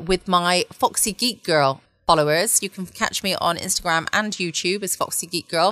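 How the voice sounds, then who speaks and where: A woman from England, hosting a calm, chatty bedtime podcast from her home studio. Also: on the low side, no echo. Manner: gentle and chatty. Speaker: podcast host.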